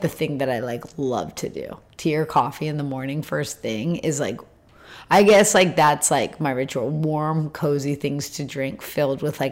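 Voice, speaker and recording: Low raspy woman, rambling. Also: a little mellow. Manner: rambling, lots of fillers. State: throat scar tissue, tires easily. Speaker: interview guest, retreat leader. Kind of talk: casual conversation.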